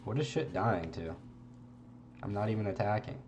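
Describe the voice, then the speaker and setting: A congested young man talks through a video game build in a loose, rambling way, swearing now and then. His voice sits low, and faint room sound is behind him. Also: normal speed, highs cut off, background noise. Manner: relaxed, rambling, swears casually. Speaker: game streamer. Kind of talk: casual gameplay commentary.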